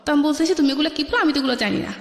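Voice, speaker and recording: A woman's voice: high voice, rapid-fire. A woman with a Bangladeshi accent telling a personal life story colloquially as a guest, quoting both sides of conversations. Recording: echoey, long reverb.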